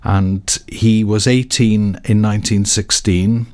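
A man speaking unscripted as a studio interview guest, explaining a story in a measured, halting way. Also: lowish voice, fairly even intonation, darkish tone. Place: radio studio.

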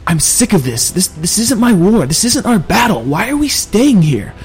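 A man's voice: high-pitched, sing-song, normal speed, a little mellow, husky, pitched above the performer's own voice, full character voice. A man voicing a frightened young male adventurer who pleads in a strained, stammering voice, with faint music behind. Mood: pleading.

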